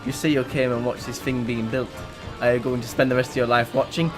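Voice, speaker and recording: A man's voice: medium pitch, flat delivery, a little fast, natural voice. A man acting in an audio drama as a bold, impatient companion, pushy and eager in delivery. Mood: goading. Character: bold, impatient companion urging a nervous friend onward. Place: recording studio. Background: music.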